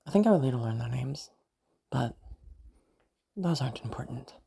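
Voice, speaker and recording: Girl doing a calm creepy sociopath telling their past, kinda raspy n dark voice, musing, audio roleplay. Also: very deep voice, full character voice. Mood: detached reminiscing. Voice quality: husky, creaky. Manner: halting, musing, understated. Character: detached sociopath recounting a childhood kidnapping, eerily calm. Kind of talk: first-person audio roleplay monologue.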